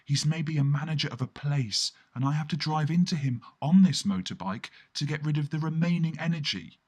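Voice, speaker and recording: A man's voice: a little high, a little fast, darkish tone. A man performing a surreal first-person radio play monologue in a theatrical way, with colloquial asides and sudden exclamations. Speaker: storyteller narrating in first person.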